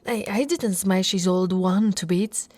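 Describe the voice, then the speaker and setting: A woman's voice: medium pitch, measured pace, darkish tone, close to an everyday voice. A woman from Italy voicing an affectionate, playfully boastful orc warrior wife in an intimate, teasing ASMR roleplay, often dropping to a whisper. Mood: amused, boastful.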